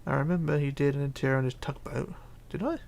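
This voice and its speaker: A man hosting a casual livestream, reading chat aloud and chatting in a rambling, halting way. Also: mid-range voice, vocal fry, darkish tone. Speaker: Minecraft livestreamer.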